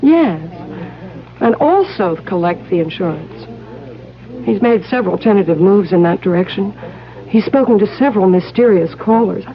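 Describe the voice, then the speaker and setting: A woman's voice: medium pitch, lively intonation, moderate pace, close to an everyday voice. An actress playing a refined, poised young society woman who confides her worries in a 1970s radio drama. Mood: worried, confiding. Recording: muffled 1970s radio recording.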